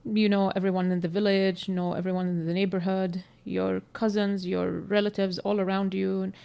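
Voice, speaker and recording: Palestinian woman, candid and unhurried. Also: mid-range voice, little pitch movement, vocal fry, mellow, smooth. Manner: candid, searching for words, frequent fillers. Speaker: co-host. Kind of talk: casual podcast conversation.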